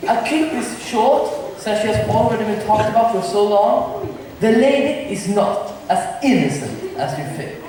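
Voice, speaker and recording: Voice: medium pitch, expressive, unhurried, a little mellow, close to an everyday voice. A student actor performing simplified Shakespeare on stage in an amateur drama club play, delivering lines in an everyday voice. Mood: scheming, earnest. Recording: echoey hall from far off, faint noise.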